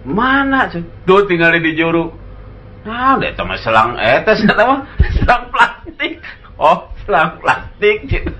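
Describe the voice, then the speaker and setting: A man's voice: high-pitched, big pitch swings, measured pace, sharp tone, slight background noise. A man in a comedy duo who chimes in with short reactions and then tells his own jokes. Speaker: comedy partner. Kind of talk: comic storytelling in a duo.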